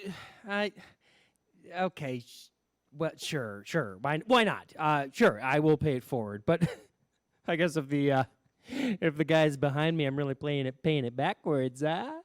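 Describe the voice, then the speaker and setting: A man's voice: a little high, lively intonation, a little slow, voice pushed down. A man acts out an exasperated husband in a comic radio sketch, grumbling about every expense. His delivery swoops up and down in disbelief, with stammers and echoed phrases. The sound is close and dry. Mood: awkwardly agreeable. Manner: incredulous, stammering, repeats things back.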